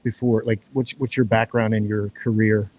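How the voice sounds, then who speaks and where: A man's voice: fairly low pitch, flat delivery, moderate pace, a little mellow, no room sound, rough recording. A man hosting a live-streamed podcast interview outdoors at a resort, talking in a loose, rambling way with frequent restarts. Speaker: podcast host. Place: outdoor resort near waterfalls. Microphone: live-stream setup.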